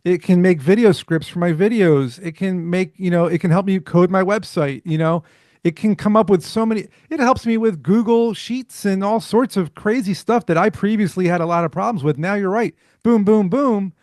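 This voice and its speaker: A man, a startup founder, talking as a podcast guest in an energetic, fluent way with rhetorical questions. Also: high-pitched, normal speed, no room sound, studio quality. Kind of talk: casual interview chat.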